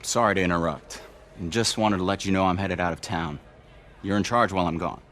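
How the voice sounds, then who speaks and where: An actor playing a grave, gentle, secretive boss in a video game, soft-spoken and solemn. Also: male voice, low voice, a little fast, slight background noise.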